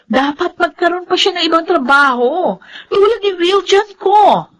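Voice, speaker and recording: Boastful, animated rich Filipina friend. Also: female voice, very high-pitched, unhurried, full character voice. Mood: smug, boastful. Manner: boastful, gushing. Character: boastful, well-off, meddling young wife and friend. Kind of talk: melodramatic Filipino serial drama acting.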